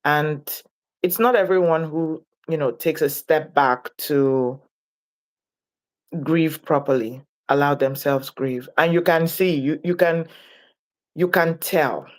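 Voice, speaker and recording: A woman from Nigeria, speaking as a guest in an interview, reflective and deliberate in her delivery. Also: low-pitched, steady tone. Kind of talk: interview conversation.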